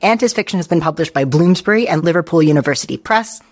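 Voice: mid-range voice, expressive. An upbeat podcast host opens the show with a polished introduction, then falls into easy chat. The voice sits mid-range and often lifts with friendly energy. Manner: bright, friendly, quick to laugh.

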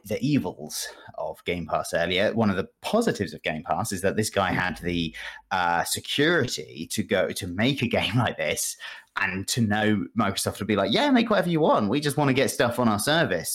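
A man hosting a podcast, explaining things casually in a rambling, halting way with asides. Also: lowish voice, expressive, fairly bright tone. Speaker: podcast host. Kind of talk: casual video game review chat.

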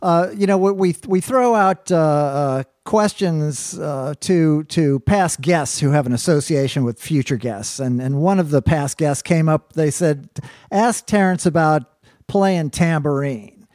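A man's voice: a little high, unhurried, a little mellow, no echo, studio quality. A man hosting a music interview, chummy and stumbling, with many fillers and restarts as he sets up questions. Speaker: podcast host. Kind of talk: casual interview chat.

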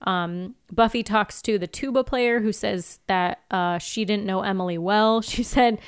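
A woman's voice: mid-range voice, unhurried, clear, resonant tone. Playful woman podcast co-host bantering. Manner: playful, mock-protesting. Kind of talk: casual banter and TV episode recap.

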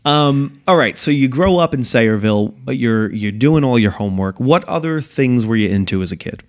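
A man's voice: medium pitch, lively intonation, fairly quick. Joking, teasing male podcast host. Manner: joking, teasing, playful banter. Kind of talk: casual interview chat.

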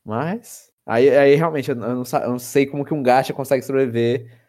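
A man's voice: medium pitch, steady tone, fairly quick, dark tone. A man, co-hosting a casual podcast chat about video games, rambling with many restarts. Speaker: podcast co-host.

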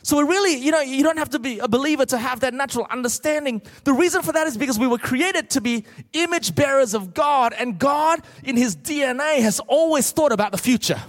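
Male preacher, middle-aged, Australian accent. Notably high voice, emphatic comic storyteller, big reverberant hall. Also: a little fast, hardly any pauses. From Australia, born in Malaysia. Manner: emphatic, rhetorical questions to the congregation. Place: large church auditorium, speaking to a congregation.

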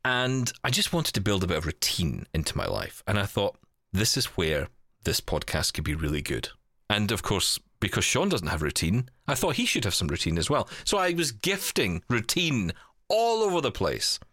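A man with a very low voice that moves around a lot in pitch. He hosts a casual podcast, rambling and doubling back on words in a jokey, teasing way. The recording is close and dry. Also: very animated, moderate pace, a bit bright, no echo. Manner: rambling, repeats words to get going. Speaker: podcast host. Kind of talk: casual chat.

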